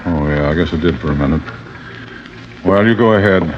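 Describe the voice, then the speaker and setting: A dull, noisy old radio drama recording features an actor as a gruff middle-aged husband. His voice is deep, and he talks in a blunt, impatient, stubborn way. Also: fairly quick, close to an everyday voice. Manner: blunt, irritable. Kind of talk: 1950s-60s radio drama acting.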